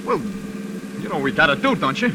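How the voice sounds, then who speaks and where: An actor playing a trigger-happy American gangster sidekick in a 1940s radio drama, slangy, goading and belligerent. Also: male voice, on the high side, big pitch swings, moderate pace. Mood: suspicious, goading. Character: trigger-happy, suspicious gangster sidekick, rough and hot-headed. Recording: noisy 1940s radio recording, rain behind. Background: rain and vehicle sounds.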